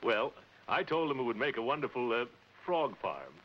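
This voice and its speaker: An actor playing a pompous, scheming middle-aged neighbor in a 1940s comedy, stammering and unctuous. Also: male voice, medium pitch, very animated, normal speed, close to an everyday voice. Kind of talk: 1940s radio-style film comedy acting. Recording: muffled, hissy 1940s film soundtrack.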